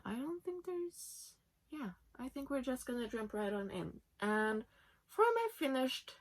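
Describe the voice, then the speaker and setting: A young woman in her twenties from Norway talks in English, her second language, at a slow, unhurried pace. She chats casually, with plenty of fillers, while sitting in her bed at home. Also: mid-range voice. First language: Norwegian. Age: 20s. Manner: chatty, with many fillers. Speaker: knitting podcast host. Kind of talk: casual chat.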